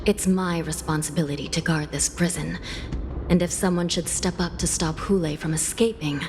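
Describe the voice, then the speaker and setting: A woman's voice: on the low side, fairly even intonation, normal speed, noisy background, lightly acted. An actress playing a dutiful, solemn female judge and prison guardian in a video game, speaking formally and resolutely.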